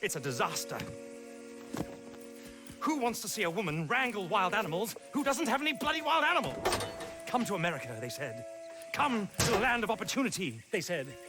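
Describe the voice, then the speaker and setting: A man's voice: sits high, sing-song, a little fast, pauses often, over music. A male actor playing a flamboyant British stage animal-tamer showman in drag, theatrical and grandiloquent, in a video game cutscene. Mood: despairing, indignant.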